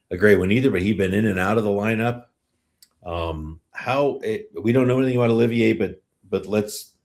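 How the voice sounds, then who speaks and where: A man with a low-pitched voice and a North American accent hosts a sports podcast. His delivery is casual and conversational, as he thinks through his points aloud. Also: darkish tone.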